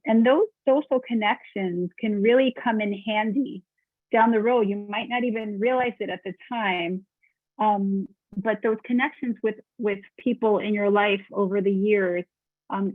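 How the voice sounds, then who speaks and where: A woman's voice: medium pitch, steady tone, moderate pace, no room sound. Woman host on a tinny phone line. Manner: friendly, organized, conversational with frequent fillers. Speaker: program host for a neighborhood village. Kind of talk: moderating a community webinar.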